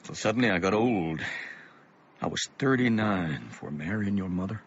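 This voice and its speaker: An actor playing a weary, gentle middle-aged father with a measured, melancholy, reflective way of talking in a radio drama. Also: low voice, lively intonation, slightly rough. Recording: muffled, noisy radio play recording.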